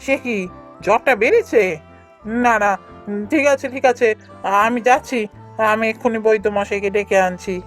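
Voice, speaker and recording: A woman's voice: a little high, moderate pace, long pauses, faint music behind, close to an everyday voice. A woman voicing a stubborn, argumentative younger brother, a village trader, in a cartoon. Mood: worried. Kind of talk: cartoon voice acting.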